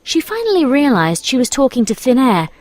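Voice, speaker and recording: A woman's voice: high voice, wildly swooping pitch, brisk, darkish tone. A woman reading scripted storybook narration as the narrator.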